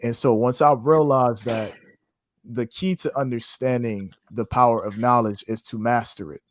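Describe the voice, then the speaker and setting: A man's voice: mid-range voice, fairly even intonation, normal speed, slight background noise. A man from Haiti, a trading educator, giving a passionate, preacher-like interview answer in a casual way. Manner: passionate, preacher-like, faith-filled phrasing; casual, with "man" and "you know what I'm saying".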